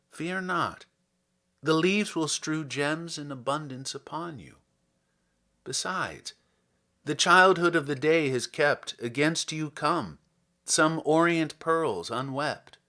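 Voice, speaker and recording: A man reading classic poetry aloud in a theatrical, deliberate and expressive manner, as a podcast host. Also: a little high. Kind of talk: dramatic poetry recitation.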